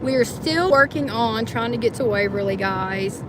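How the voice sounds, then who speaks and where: A woman's voice: fairly high pitch, expressive, moderate pace. A woman with a Kentucky-tinged Southern Indiana accent, co-hosting a paranormal livestream and chatting casually with viewers from a car. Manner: chatty, teasing, addresses viewers. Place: inside a car on country roads. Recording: road and engine noise.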